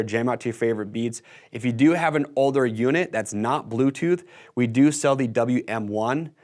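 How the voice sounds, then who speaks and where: An American man in his thirties giving an enthusiastic, casual product walkthrough to camera in a conversational sales style. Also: medium pitch. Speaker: product video presenter. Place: indoor workshop-style studio set.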